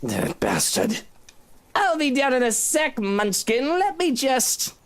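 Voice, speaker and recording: Haughty, tired young heir in a game: sardonic, exasperated, very animated intonation. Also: male voice, extremely high pitch, slow pace, exaggerated voice. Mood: grudging. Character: irritable, haughty, sleep-deprived young male heir.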